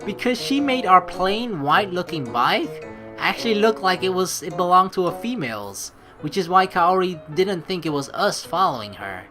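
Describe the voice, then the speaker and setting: Female let's play streamer, lively pitch swings, casual playful reading and reacting over faint music. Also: normal speed. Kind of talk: gaming commentary mixed with reading game narration aloud. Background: game music.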